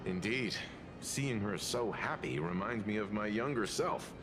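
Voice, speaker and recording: A man voicing a gentle, nostalgic older gentleman mentor in a video game, wistful and unhurried. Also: lightly acted. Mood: wistful, fond. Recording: clear rumbling background noise.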